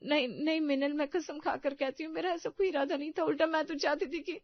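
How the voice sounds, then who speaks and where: Woman acting a pleading, high-voiced young daughter-in-law. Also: fairly even intonation, brisk, no echo, highs cut off. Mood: nervous, pleading. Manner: pleading, earnest, plaintive. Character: anxious, eager-to-please young daughter-in-law.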